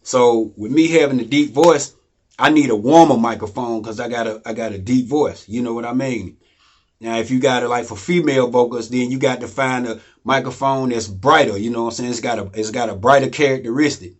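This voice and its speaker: A man, casually explaining home studio gear in a loose, rambling way that keeps asking "you know what I mean?". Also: brisk. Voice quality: deep voice. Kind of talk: casual how-to talk.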